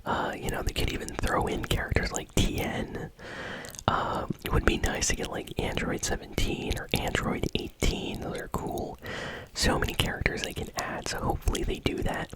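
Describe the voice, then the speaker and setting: Whispering ASMR host needed: breathy, unvoiced, casual and conversational delivery of news commentary. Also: normal speed, low-quality recording. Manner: casual, conversational, soft-spoken. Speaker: host of a gaming news show.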